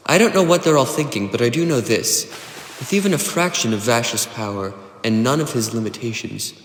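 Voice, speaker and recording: A man plays an earnest god with a medium, very expressive voice. He explains things with regret, in dramatic comic-dub style, through roomy echo and faint noise. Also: mid-range voice, a little fast, lightly acted. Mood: grave, earnest.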